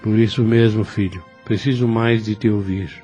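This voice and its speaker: An actor playing a gentle, devout, dying old priest who speaks slowly and tenderly in an audio novel. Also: male voice, lowish voice, fairly even intonation, faint music behind, lightly acted. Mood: wistful. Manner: slow, halting, tender speech. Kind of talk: religious audio novel acting.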